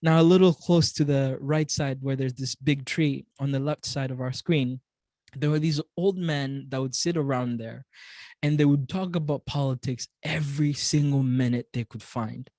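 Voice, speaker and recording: A man's voice: medium pitch, fairly even intonation, moderate pace, no echo. A young man from Congo, a native Swahili speaker, giving a motivational talk as a storyteller, often repeating words as he goes. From Democratic Republic of Congo. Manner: warm storytelling with frequent repeated words and chuckles.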